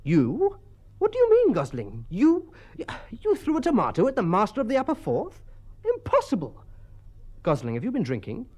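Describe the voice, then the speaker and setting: A man voicing a stern English schoolmaster in a storybook, precise and formal, quick to exclaim. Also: fairly high pitch, big pitch swings, darkish tone. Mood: astonished.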